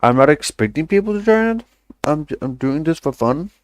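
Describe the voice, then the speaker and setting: A man's voice: on the high side, animated, normal speed, no echo. A young man, chatting loosely on a stream, rambling and swearing casually with self-deprecating asides. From Denmark. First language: Danish.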